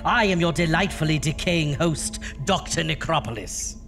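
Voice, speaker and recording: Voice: medium pitch, moderate pace, lightly acted. An actor playing a decaying undead horror host, grandiose and gleefully macabre, addressing listeners in alliterative showman style. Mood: grandly welcoming. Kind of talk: theatrical horror anthology hosting. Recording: long reverb, music underneath.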